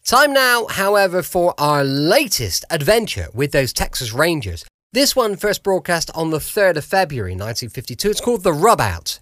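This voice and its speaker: Male English podcast presenter, animated and conversational, very dry home recording. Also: fairly high pitch, normal speed, hardly any pauses, dry recording. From United Kingdom. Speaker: podcast host. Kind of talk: chatty podcast introduction.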